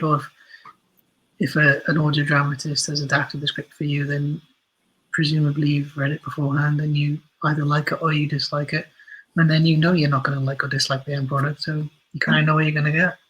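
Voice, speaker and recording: A man's voice: a little high, fairly bright tone, husky, low-quality recording. A man giving thoughtful, opinionated answers as an interview guest, repeating points for emphasis. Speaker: interview guest, audio drama writer.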